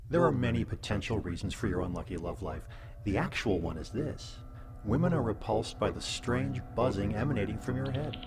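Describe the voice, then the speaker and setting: A man performs a cosmic abyss with a lowish voice, handing down grim advice in an audio comedy. The delivery is deadpan, measured and formal, like a scripted letter read aloud. Loud music runs underneath. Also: gravelly. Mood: ominous, deadpan. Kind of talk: scripted comic advice-column monologue.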